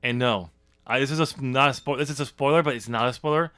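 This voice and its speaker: A man's voice: medium pitch, fast, bright, forceful tone, smooth. A man hosting a casual podcast, talking hesitantly about his writing and restarting his sentences. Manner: hesitant, trails off and restarts, laughs often. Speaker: author and podcast host.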